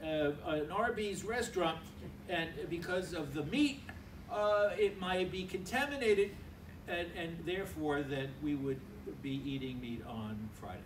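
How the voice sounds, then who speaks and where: A man's voice: fairly high pitch, measured pace, noisy background. An older man, a priest, giving a conversational homily at a lectern in a large church hall, filmed from a distance. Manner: conversational, acts out little dialogues. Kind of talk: sermon. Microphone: stand mic at a lectern, filmed from far.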